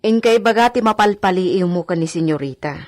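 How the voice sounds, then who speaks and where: A woman's voice: fairly low pitch, expressive, fairly quick, no echo, studio quality, natural voice. An actress playing a concerned, firm household woman giving advice in a radio drama, with a Filipino accent. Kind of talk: Ilocano radio drama acting.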